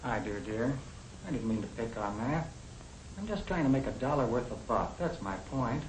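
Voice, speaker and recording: An actor playing a practical young American husband, plain-spoken and reasoning, in the style of a 1950 educational film. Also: male voice, medium pitch, animated, moderate pace, lightly acted. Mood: defensive. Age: young adult. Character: young professional husband, practical, matter-of-fact, budget-minded. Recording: echoey, noisy 1950 film soundtrack.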